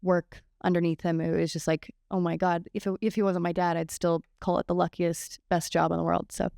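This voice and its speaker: A woman in her early twenties on a panel, speaking earnestly and haltingly with many fillers. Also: lowish voice, level tone, gravelly, a little mellow, no room sound, studio quality. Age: early 20s. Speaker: actor on a panel. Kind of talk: casual panel Q&A talk.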